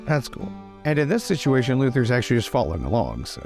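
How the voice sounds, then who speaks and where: A man's voice: medium pitch, big pitch swings, fast, darkish tone, soft background music. A man chatting casually as a player in a tabletop game.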